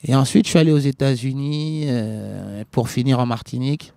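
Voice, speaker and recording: A man who grew up in Liberia, a reggae singer answering interview questions in a halting way, with many restarts and fillers. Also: medium pitch, steady tone, creaky, warm tone, no room sound. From West Africa, grew up in Liberia.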